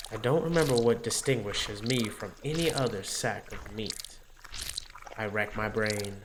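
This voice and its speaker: A man's voice: mid-range voice, background noise, lightly acted. A man voicing an anguished, macabre young woman with amnesia in a visual novel, theatrical and brooding, easily bewildered. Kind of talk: dramatic first-person visual novel narration.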